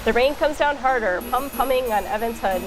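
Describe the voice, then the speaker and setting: A woman reading a children's picture book aloud in an expressive storytelling style. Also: on the high side, a little fast, over music, poor audio.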